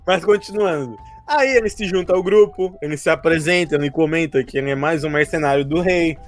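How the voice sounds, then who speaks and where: High-voiced Brazilian man recapping a story. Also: moderate pace, faint music behind. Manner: informal, rambling storytelling. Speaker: podcast co-host and game master recapping a tabletop campaign. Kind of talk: casual recap chat among friends.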